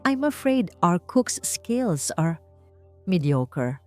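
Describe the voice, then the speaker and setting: A woman's voice: on the low side, sing-song, extremely slow, mellow, soft background music, heavily acted. A woman voicing a haughty, condescending rich matriarch in a dramatic romance audio story, taunting and mixing Tagalog with English. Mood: cold, taunting. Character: haughty, mocking rich matriarch, cold mother-in-law villain.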